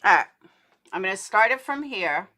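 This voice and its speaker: A woman's voice: medium pitch, sing-song, normal speed, bright, forceful tone. A woman giving casual livestream commentary in a blunt, indignant, sweary manner, as host of a true crime show.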